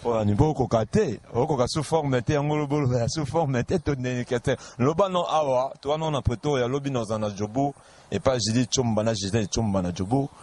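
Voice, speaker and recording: A man with a Congolese accent talks casually as an interviewer. His voice sits at a medium pitch, and the recording has background noise. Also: a little fast, darkish tone.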